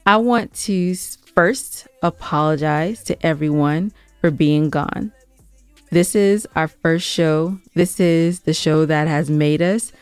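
A woman's voice: low voice, slow, clear, resonant tone, dry recording, faint music behind. A woman co-hosting a podcast in casual conversation, speaking in a direct, insistent way. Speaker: podcast co-host. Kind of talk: casual banter and chat with co-host.

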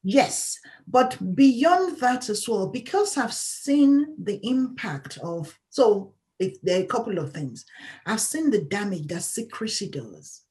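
A woman's voice: on the high side, lively intonation, takes it slowly, warm tone. A woman guest in an interview, talking candidly as a patient advocate in an emphatic, outspoken way and laughing often.

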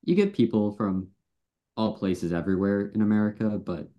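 A man's voice: on the low side, moderate pace, highs cut off. A man from Buffalo, New York, chatting casually as a guest on a podcast. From Buffalo, New York; Florida from early teens. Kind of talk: casual chat.

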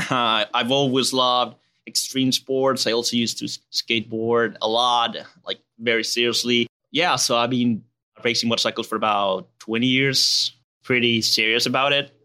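Bright-voiced young man with a Spanish accent. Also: mid-range voice, normal speed. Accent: Spanish and Venezuelan. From Venezuela. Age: 20s. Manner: laid-back, relaxed and chatty, calls people man. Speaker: guest. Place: outdoors.

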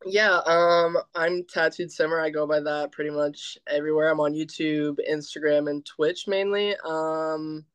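A woman's voice: sits low, fairly even intonation, a little slow, few pauses, clean tone, no echo. A woman guest introducing herself in a casual podcast, hesitant with many ums. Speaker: podcast guest, content creator. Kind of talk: casual chat.

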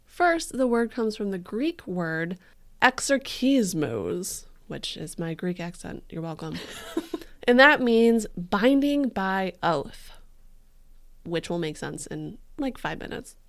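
A woman's voice: medium pitch, sing-song, slow, gravelly. Young woman podcast host, goofy and chatty, says like a lot. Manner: bubbly, joking, heavy use of "like". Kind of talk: casual true crime podcast chat.

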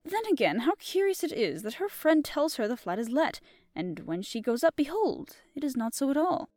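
A woman's voice: high voice, very animated, darkish tone, dry recording, clean studio sound, soft background music, pitched above the performer's own voice. A woman doing a fussy, formal old detective. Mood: deliberate. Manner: deliberate and formal, attempting a French accent. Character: fussy, precise older male Belgian detective.

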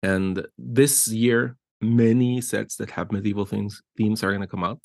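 Guatemalan-born guy in his middle years, excited art director rambling along, dry close sound, lots of "right?". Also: fairly low pitch, measured pace, vocal fry, no room sound. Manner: enthusiastic, rambling with frequent restarts and "right?" tags. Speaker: art director, interview guest. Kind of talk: casual interview conversation.

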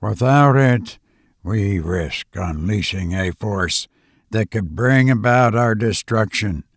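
A man's voice: lowish voice, lively intonation, takes it slowly, no echo, clean studio sound, pitched below the performer's own voice. A man voicing an intense, alarmist male witness in an audiobook, warning of catastrophe in grave rhetoric.

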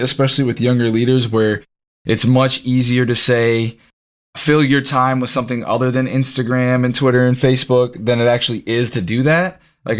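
A man of about thirty hosting a podcast, who jokes about himself and asks long, rambling questions. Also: mid-range voice. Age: 30s. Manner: casual, self-joking, asks rambling questions. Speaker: podcast host. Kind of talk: conversational podcast interview.

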